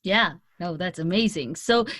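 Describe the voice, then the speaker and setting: A woman's voice: on the low side, a little slow, a bit bright, no echo, studio quality. A woman hosting a podcast, interviewing a guest in a casual, chatty style.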